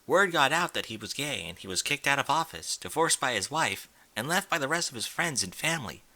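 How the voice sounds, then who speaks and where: A man's voice: medium pitch, big pitch swings, fairly quick, bright, forceful tone. A man reading fan fiction aloud in an informal, blunt way, with incredulous asides in casual speech. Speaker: fan fiction reader and commentator.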